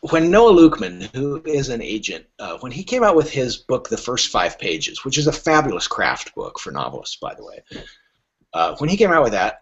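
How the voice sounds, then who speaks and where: A man talking casually as a guest literary agent on a podcast, telling anecdotes in a wry, emphatic way and acting out the remarks he quotes. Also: medium pitch. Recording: dull, lo-fi podcast audio.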